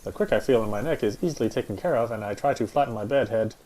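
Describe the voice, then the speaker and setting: A man's voice: medium pitch, fast talker, dark tone. Man reading a first-person story aloud. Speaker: reader narrating a visual novel playthrough.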